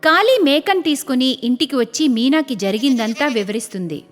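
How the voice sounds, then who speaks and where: A woman narrating a scripted cartoon story in an expressive storytelling style. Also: sits high, a little fast, in a large hall. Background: faint music.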